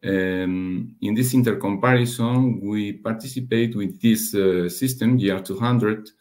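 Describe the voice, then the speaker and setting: A man giving a technical slide presentation as a webinar speaker, halting and hesitant, often pausing and rephrasing. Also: fairly low pitch, darkish tone. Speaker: webinar presenter, radiation dosimetry researcher.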